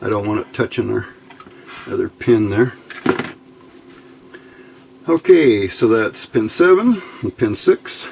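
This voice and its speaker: A man's voice: lowish voice, big pitch swings, slow pace, creaky, long pauses, noisy background. A man giving an informal hands-on electronics tutorial, thinking aloud as he works. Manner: unscripted, thinking aloud while working. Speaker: electronics hobbyist instructor.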